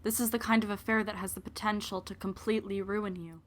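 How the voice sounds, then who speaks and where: A woman playing the storyteller of an audio drama, narrating a scripted tale in a measured way. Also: medium pitch, flat delivery, close to an everyday voice. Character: female storyteller narrating a breakup.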